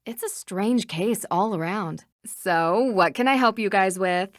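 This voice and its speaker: A woman's voice: medium pitch, measured pace, no room sound, studio quality, natural voice. A woman playing a polite, inquisitive young female detective in a video game. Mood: friendly.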